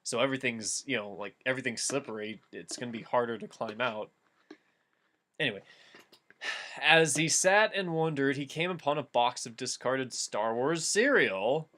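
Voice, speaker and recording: A man with a mid-pitched voice reads fan fiction aloud as a host. He moves between storybook-like narration and casual riffing commentary in an everyday conversational way. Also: animated, normal speed, bright, forceful tone.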